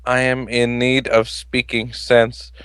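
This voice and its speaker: A man's voice: mid-range voice, completely flat delivery, slow pace, a bit bright, clean tone, no room sound, studio quality. A man voicing an earnest, heroic male cartoon cat in an amateur fan fiction reading, with stiff, solemn delivery.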